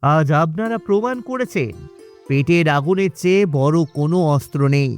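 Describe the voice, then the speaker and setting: A man's voice: a little high, animated, normal speed, smooth, soft background music, natural voice. A man playing a brave, principled young village leader in a cartoon, firm and rousing in his speech. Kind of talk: cartoon acting.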